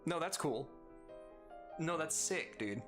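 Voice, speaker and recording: A male streamer with a slight Arizona mumble, joking and teasing his chat in a loose, rambling way. His pitch swings up and down all over the place, with quiet music playing under him. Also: animated, takes it slowly. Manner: playful, teasing, rambling jokes. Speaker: live streamer host. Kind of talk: casual chat with viewers.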